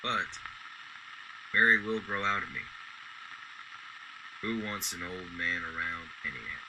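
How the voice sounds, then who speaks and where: A low, reflective old war veteran played by a man in an old-time radio drama. He is kindly and melancholy, speaking with wistful care over clearly audible noise. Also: a little slow. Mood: moved, self-doubting. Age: older.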